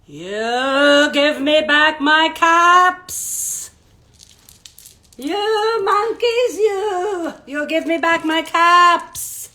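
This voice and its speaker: A woman voicing an angry male cap peddler who scolds in a slow, drawn-out sing-song. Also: very high voice, bright, forceful tone, voice pushed up, heavily acted. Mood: scolding, drawn-out.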